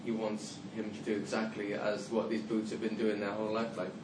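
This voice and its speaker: A man's voice: lowish voice, level tone, a little fast. A male student answering in class, hesitant and saying "like" often. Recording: echoey room from far off, clear noise.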